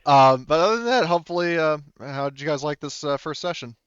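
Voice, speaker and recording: A man's voice: a little high, expressive, quick pace, fairly bright tone, clean tone, dry recording. A man chatting casually as game master after a tabletop game session, informal and freely swearing, on a slightly dull mic.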